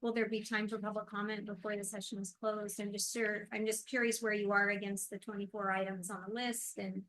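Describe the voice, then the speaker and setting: A woman giving an earnest, measured public comment at a podium microphone in a council meeting hall, with some hesitant restarts. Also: mid-range voice, little pitch movement, fairly bright tone.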